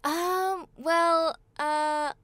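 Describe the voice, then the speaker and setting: A woman's voice: very high voice, fairly even intonation, drawn-out words, fairly bright tone, dry recording. A young woman who grew up in Australia, a voice actor talking as a guest in a friendly, chatty way. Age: young adult. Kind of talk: casual interview chat.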